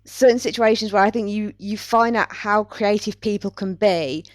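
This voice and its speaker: A woman's voice: medium pitch, moderate pace, dry recording, clean studio sound. A woman hosting a podcast, talking casually in a chatty, self-deprecating way. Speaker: podcast host.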